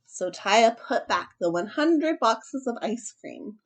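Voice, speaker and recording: Lively-pitched woman hosting children's story time. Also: slow. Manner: expressive, emphatic storytelling. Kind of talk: casual chat and animated storybook read-aloud.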